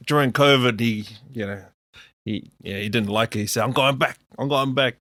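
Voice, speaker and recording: Casting note: New man, easygoing guest, mid-pitched, full of "yeah, yeah", dry close mic. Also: normal speed, bright, forceful tone, dry recording. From New Zealand. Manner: loose and chatty, many fillers like "you know" and "yeah". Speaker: podcast guest. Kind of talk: casual podcast chat.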